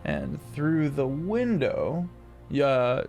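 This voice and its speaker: A man's voice: fairly high pitch, lively intonation, takes it slowly, vocal fry, a little mellow, soft background music. A man narrating as game master in a tabletop role-playing game, rambling loosely and laughing often.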